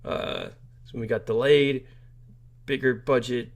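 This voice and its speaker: A man's voice: mid-range voice, steady tone, slow pace. A man co-hosting a podcast, giving casual film opinions in a blunt, slangy way. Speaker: podcast co-host. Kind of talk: casual film review chat.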